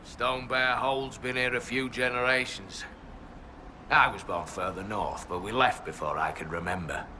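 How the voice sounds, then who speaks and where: Proud fantasy clansman, low forceful voice, terse archaic phrasing, wary, background noise. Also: moderate pace. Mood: wary, blunt. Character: proud, wary male hill-tribe warrior, plain-spoken and superstitious. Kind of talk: fantasy video game acting.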